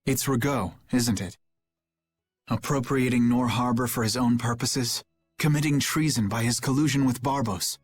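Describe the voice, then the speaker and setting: A man plays an honorable young knight captain in a video game. His medium-pitched voice is formal and grave, with faint music underneath. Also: moderate pace, slightly rough, no room sound, lightly acted. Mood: troubled, grave. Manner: formal, solemn, sincere. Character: earnest, principled young male knight captain.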